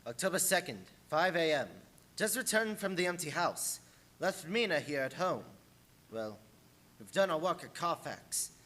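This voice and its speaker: Man playing tense young husband, high voice. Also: animated, moderate pace, bright, forceful tone. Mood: weary, tense. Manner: clipped, dramatic diary reporting. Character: earnest, tense young husband keeping a journal. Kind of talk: live radio play acting.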